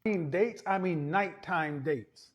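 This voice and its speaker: A man with a high voice gives dating rules to women. He speaks in brisk, flat declarations. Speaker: dating advice speaker in a played clip.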